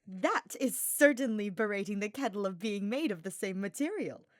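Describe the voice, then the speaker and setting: A woman's voice: medium pitch, animated, moderate pace, no room sound, lightly acted. A genial noble knight , a man as a woman reader puts him on from a fantasy storybook. He speaks formally and earnestly with a teasing streak, in a dry, close recording. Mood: amused.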